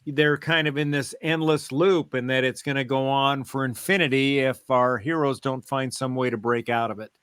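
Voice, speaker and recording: A man co-hosting a podcast, explaining things step by step in a halting, casual way. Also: mid-range voice, fairly even intonation, a bit bright, clear, resonant tone, no echo, studio quality. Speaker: podcast co-host. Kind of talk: casual fan discussion, recounting and theorizing.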